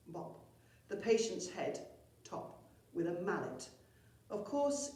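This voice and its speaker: A woman's voice: lowish voice, mellow. A woman reading a children's story expressively, as a storyteller at a primary school. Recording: very echoey room, far from mic.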